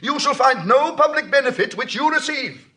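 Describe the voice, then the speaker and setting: Sly genial roman nobleman in shakespeare, husky voice, really swoopy and theatrical. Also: extremely high pitch, expressive, pushed and bright, exaggerated voice. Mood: scornful, rousing. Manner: theatrical, teasing, drawn-out delivery. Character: genial, wily Roman patrician telling a fable. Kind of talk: classical Shakespearean stage acting.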